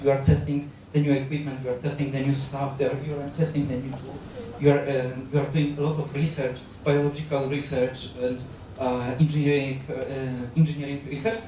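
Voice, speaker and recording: A man in his thirties with a Polish accent, giving a public lecture on stage into a handheld mic, halting often with fillers and restarts. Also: medium pitch, warm tone, a little hiss or hum. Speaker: guest lecturer on astronaut training. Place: large lecture hall, on stage. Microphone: handheld microphone. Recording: very echoey hall, captured from far off.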